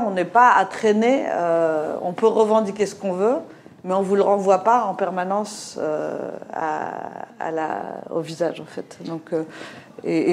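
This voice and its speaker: A woman's voice: mid-range voice, a little mellow, slight background noise. Hesitant woman from Morocco, slight accent. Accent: slight Moroccan French accent. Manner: hesitant, many fillers and restarts. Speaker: panel speaker. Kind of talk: discussion talk.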